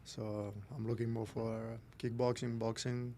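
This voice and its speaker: Young French man, guest on a chat show, gravelly texture, plain non-native phrasing. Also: mid-range voice, level tone, a little slow, warm tone, slight background noise. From France. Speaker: podcast guest. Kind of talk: casual chat.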